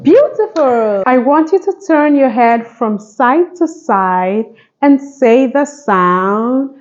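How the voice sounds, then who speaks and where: A woman's voice: high voice, lively intonation, slow, dull audio, a little hiss or hum. A woman hosting a phonics lesson for young children, speaking in an encouraging, sing-song manner with simple repeated instructions. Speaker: phonics teacher and channel host.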